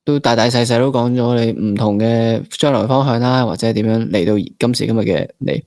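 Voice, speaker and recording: A man's voice: medium pitch, brisk, a little mellow, no room sound, clean studio sound. A man in his late twenties with a Hong Kong Cantonese accent, hosting a conversational interview reflectively and slipping in English words. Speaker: interviewer, fellow actor.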